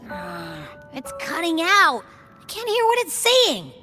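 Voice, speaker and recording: A woman's voice: very high-pitched, huge pitch swings, slow pace, full character voice. An actress voicing a small, chirpy, inquisitive female companion in a video game. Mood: puzzled, curious. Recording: loud music behind.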